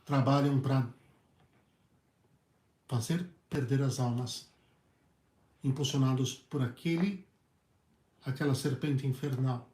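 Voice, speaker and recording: A hoarse male priest with a Brazilian Portuguese accent, preaching earnestly in halting phrases and often repeating his words. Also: medium pitch, fairly even intonation, a little mellow. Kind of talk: preached talk on a video channel. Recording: echoey room.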